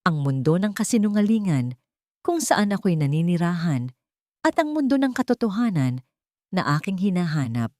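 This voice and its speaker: A woman narrates a dramatic story in the first person. Her voice sits low for a woman. The sound is dry, with very little room on it, and the delivery is clearly scripted storytelling. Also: sing-song, moderate pace, a little mellow, dry recording.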